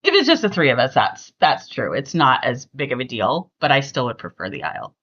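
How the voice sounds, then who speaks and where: Bright, lively, chatty middle-aged woman. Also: very deep voice, fairly quick, slightly rough, lo-fi sound. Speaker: podcast host. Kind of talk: casual family podcast chat.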